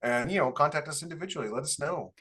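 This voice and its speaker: A man's voice: on the high side, quick pace, sharp tone, breathy edge. A man co-hosting a casual podcast, talking bluntly and jokingly to listeners. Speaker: podcast co-host and writer. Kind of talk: casual chat with advice to listeners. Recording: noticeable background noise.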